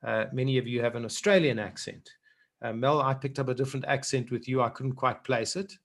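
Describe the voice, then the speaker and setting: A middle-aged man with a South African accent coaching an online group on public speaking. He talks earnestly at a middle pitch and repeats words as he gets going. The sound is that of a video call. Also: fairly even intonation, fairly quick. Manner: earnest, repeats words when starting a point. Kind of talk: online workshop talk.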